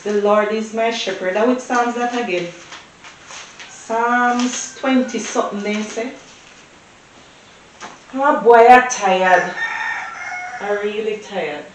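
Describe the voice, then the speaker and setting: A woman playing an earnest, soft-hearted Jamaican churchgoer in a drama, speaking plaintively and repeating herself. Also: extremely high pitch, far from the mic. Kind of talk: acted comedy drama dialogue. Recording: very echoey room with faint noise.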